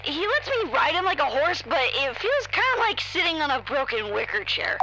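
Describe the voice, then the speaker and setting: Woman acting childlike agent, audio drama, chatty, guileless, bright lively voice, noisy radio line. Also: sits high, moderate pace, exaggerated voice. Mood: curious, casual. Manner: chatty, guileless, simply worded. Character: childlike, eager female agent, fond of her creature.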